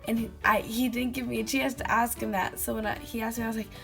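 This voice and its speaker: A teenage girl vlogging casually to a webcam in her bedroom, telling stories with lots of fillers and giggles, her voice slightly hoarse from having lost it. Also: fairly high pitch, flat delivery, fast, fairly bright tone, soft background music. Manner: chatty, giggly storytelling with many fillers. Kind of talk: casual chat to camera. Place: small bedroom indoors.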